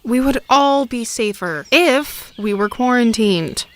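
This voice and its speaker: A woman's voice: fairly high pitch, lively intonation, slow, a bit bright. A woman playing a bossy, exasperated, haughty queen in a comic stage-style audio drama. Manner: commanding, alarmist, haughty.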